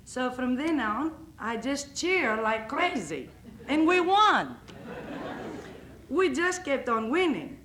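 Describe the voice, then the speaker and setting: A woman's voice: high voice, big pitch swings, a bit bright, slight background noise. A woman from Greece with a Greek accent, telling funny stories about her husband in a roast speech. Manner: slow, deliberate storytelling with comic timing. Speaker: coach's wife giving a roast speech. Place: banquet hall. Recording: echoey banquet hall.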